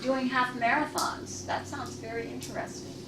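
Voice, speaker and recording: A woman's voice: high voice, fairly even intonation, moderate pace. A woman in her sixties or older, hosting a club meeting and asking chatty, joking questions. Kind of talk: casual onstage interview. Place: meeting room. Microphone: far camera. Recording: echoey room, far off, background noise.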